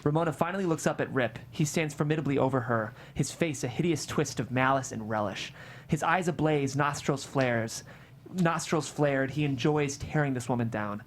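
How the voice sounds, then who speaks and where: Low-key woman narrator with noisy background. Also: moderate pace. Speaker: narrator reading script stage directions. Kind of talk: expressive scripted narration.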